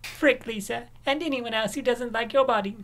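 A woman voicing a brisk, coaxing young woman who eggs on her best friend in a comic dub. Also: fairly high pitch, natural voice.

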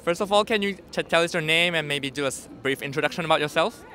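A man asks polite questions off camera in a street interview, with a fairly high, bright voice. Faint street noise sits behind him on a busy night-time street. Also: quick pace.

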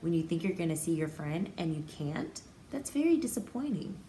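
An American woman reads a picture book to small children in an echoey room with faint noise behind her. Her voice is mellow and very animated, and she pauses to explain things with emphasis, like a teacher. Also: on the low side. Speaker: pre-K teacher. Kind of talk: picture book read-aloud with commentary for children.

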